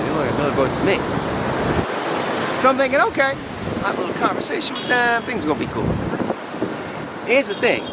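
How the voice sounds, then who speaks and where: A man telling a first-person story to camera like a boastful, playful showman, outdoors. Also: on the high side. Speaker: sports travel vlogger, storyteller. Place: outdoors on a windy beach. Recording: loud surf and wind noise outdoors. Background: ocean waves, wind, surf.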